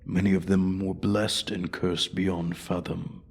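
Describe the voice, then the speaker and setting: Ancient dragon, played by a man, fantasy audio roleplay: very low, slow, breathy, haughty and quietly threatening. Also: flat delivery, full character voice. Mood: taunting. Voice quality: husky, breathy, often dropping to a whisper. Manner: haughty, deliberate, coldly threatening. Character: ancient, menacing, arrogant male dragon guarding his hoard. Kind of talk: slow, theatrical fantasy ASMR roleplay monologue.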